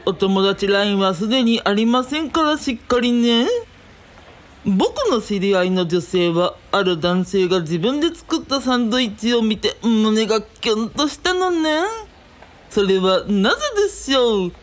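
An actress playing a showy, gleeful TV quiz host in a radio drama, with theatrical, drawn-out delivery. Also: female voice, medium pitch, animated, lightly acted. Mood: teasing suspense. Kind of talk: game show presenting in a radio drama.

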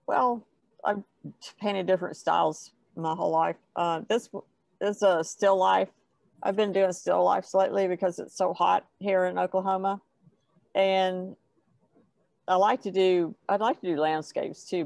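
Older female artist in casual chat: gravelly voice, close dry sound. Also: lowish voice, a little mellow, no room sound. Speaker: painter showing her work. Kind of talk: casual chat about her paintings. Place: dim indoor room on a video call. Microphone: wired earbuds.

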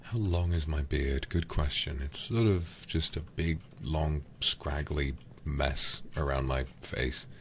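A man streaming a game ASMR-style into a close microphone, speaking softly and intimately with frequent whispering, in an affectionate, languid manner. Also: extremely low pitch, takes it slowly, hardly any pauses, slight background noise. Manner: breathy, often whispered, intimate; affectionate, doting toward viewers. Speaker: livestreamer.

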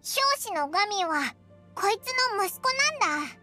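Anime game role: tiny cheeky fairy sidekick, extremely high, squeaky, lively swings. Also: female voice, moderate pace, faint music behind, exaggerated voice. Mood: chatty, cheeky. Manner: squeaky, cute, rough boyish phrasing. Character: tiny childlike floating fairy companion, cheeky, chatty.

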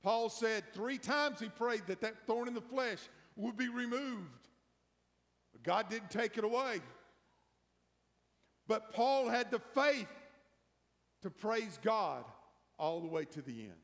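A middle-aged man preaching as pastor, building emphasis through a slow sermon before a hushed prayer in a large church. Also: high-pitched, lively intonation. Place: large church sanctuary, empty pews. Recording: long church hall reverb.

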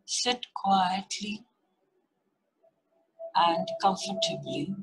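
A woman leading a guided meditation, giving gentle, unhurried instructions in short repeated phrases with long pauses. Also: mid-range voice, slightly rough, rough recording. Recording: music behind the voice. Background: meditation music.

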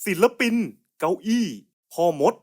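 Man in casual cartoon banter. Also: a little slow. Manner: colloquial, coarse buddy-style banter. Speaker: cartoon creator voicing puzzle skits. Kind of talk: scripted cartoon dialogue in casual slangy speech.

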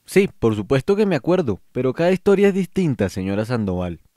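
Actor as an earnest, mellow Colombian priest. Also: male voice, medium pitch, big pitch swings, a little fast, no room sound, clean studio sound, natural voice. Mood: earnest, consoling. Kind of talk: radio drama dialogue.

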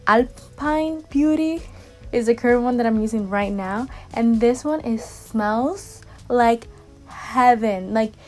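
A young woman with a Dominican accent talks through her skincare picks in a chatty, animated way, gesturing as she goes. Her voice sits a little high, and soft music plays quietly under her from a camera set up in a bright bedroom. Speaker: fashion and skincare vlogger. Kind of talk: casual chatty product review.

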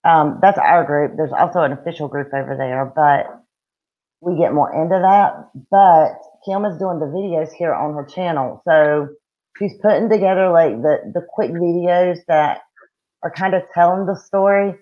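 A woman's voice: lowish voice, normal speed. Chatty Southern woman on a video call. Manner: chatty and blunt, folksy slang. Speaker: co-host of a true-crime livestream. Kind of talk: casual livestream chat. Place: room at home. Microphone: webcam on a video call. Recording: dull lo-fi video call audio.

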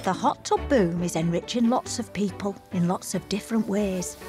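A woman's voice: mid-range voice, normal speed. A woman giving playful, punning scripted narration for a documentary, recorded as a studio voice-over. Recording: music bed under the voice.

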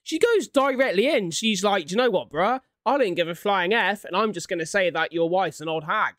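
A man's voice: high voice, lively intonation, brisk, a bit bright, no room sound. A young adult man giving casual, slangy, exclamatory reaction commentary into a close desktop microphone in a small room. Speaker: reaction video host. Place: small indoor room at home. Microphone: desktop condenser microphone, close up.

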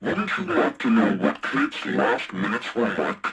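An actor playing a menacing, taunting spy-ring boss in a video game, with a husky, gravelly voice. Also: male voice, on the low side, wildly swooping pitch, unhurried, fairly bright tone, full character voice. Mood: cold, taunting. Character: sinister male spy-ring boss giving threatening orders. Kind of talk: scripted video game voice acting.

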